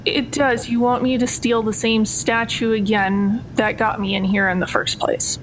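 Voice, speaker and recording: A woman's voice: level tone, moderate pace, close to an everyday voice, poor audio. A woman playing a wry, skeptical jailed thief in a tabletop game, casual and sardonic. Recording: noticeable low rumbling background noise.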